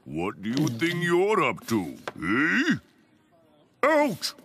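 Gruff, bullying cartoon guard. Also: male voice, a little high, wildly swooping pitch, drawn-out words, fairly bright tone, exaggerated voice. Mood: angry, scolding. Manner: barking, scolding. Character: big, stern, bullying uniformed shop guard. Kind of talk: cartoon voice acting. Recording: music behind the voice.

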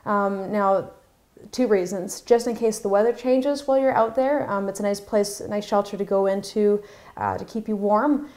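Give me a chatty woman co-host with a mellow voice. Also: medium pitch. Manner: chatty and animated, quick restarts, laughs easily. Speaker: fishing show co-host. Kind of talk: casual chat. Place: bright indoor studio at a table.

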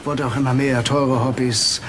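An actor playing a gruff, defensive fruit-shipping boss who blusters under questioning in a German crime radio drama. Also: male voice, mid-range voice, fairly even intonation, moderate pace, noisy background, lightly acted. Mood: cornered, evasive. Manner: evasive, blustering.

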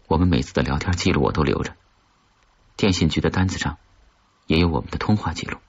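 A cold, calculating blackmailer from a thriller audiobook, voiced by a man, speaks in a very low, husky tone. Each threat is measured and distinct. The sound is slightly muffled. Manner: low, deliberate, distinct, menacing.

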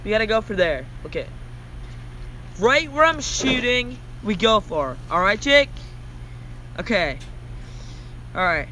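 A man's voice: high-pitched, big pitch swings, unhurried, pauses often, sharp tone, a little hiss or hum, exaggerated voice. A young man playing a casual, exclamatory hero in an amateur Minecraft roleplay movie. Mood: determined. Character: young male hero leading a zombie-fighting mission.

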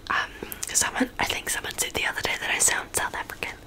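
A woman's voice: fast, bright, forceful tone. A young woman with a New Zealand accent, whispering a rambling ASMR chat with lots of ums, close to a studio mic in her small bedroom. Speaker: ASMR creator. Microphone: studio mic on stand, close up.

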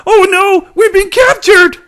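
A man voicing a dim-witted, excitable cartoon robot sidekick, with a goofy, eager, exclamatory delivery. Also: extremely high pitch, unhurried. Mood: alarmed. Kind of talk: cartoon character voice demo.

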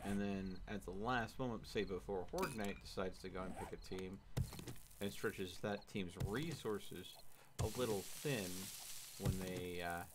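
A man in his thirties with a fairly low voice hosts a game stream from a room at home. He explains things casually in a measured, dry manner. Clear background noise sits behind his microphone. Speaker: livestream gamer and host. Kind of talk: casual gaming chat with explanations.

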